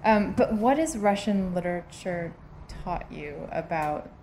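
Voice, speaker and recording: Woman host of an author talk, lowish voice, audible background noise, halting delivery. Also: expressive, a little mellow. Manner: hesitant, rambling questions with many "um"s. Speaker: interviewer.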